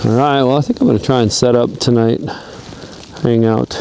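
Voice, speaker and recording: A man casually talking through his camping gear outdoors in strong wind, relaxed and rambling, chuckling now and then, recorded on a camera mic. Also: mid-range voice, fairly quick, vocal fry. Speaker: outdoor vlogger. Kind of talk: casual gear-testing vlog. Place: outdoors in a windy backyard.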